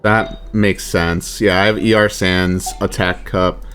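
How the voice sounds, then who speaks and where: A man's voice: low-pitched, steady tone, takes it slowly, a bit bright, soft background music. A man chatting casually with friends while playing a game. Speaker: gaming friend on stream. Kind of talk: casual gaming chat.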